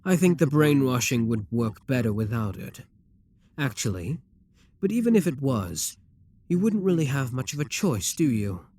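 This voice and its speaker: A man voicing a possessive, scheming demon king who talks to the listener in a calm, coaxing, self-assured way. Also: medium pitch, moderate pace, no room sound. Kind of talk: audio roleplay addressed to the listener.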